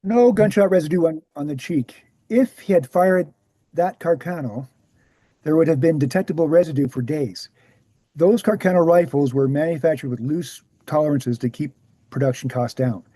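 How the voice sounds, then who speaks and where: A man's voice: a little high, moderate pace, dark tone, no echo, natural voice. A man playing a jovial, assured older researcher who can turn hard, in a screenplay table read. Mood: assured explaining. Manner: assured, explanatory.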